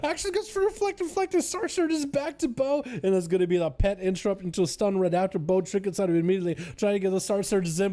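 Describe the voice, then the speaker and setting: A young man with a faint Russian accent, excitedly casting a player-versus-player game match. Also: on the high side. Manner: excitable, laughs often, says "dude" a lot. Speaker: gaming commentator.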